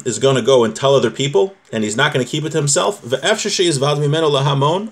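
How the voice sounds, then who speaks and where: Man teaching an informal religious law class. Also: a little high. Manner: explanatory, repeats points, checks with "right?". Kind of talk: informal lesson, reading a text aloud and explaining it.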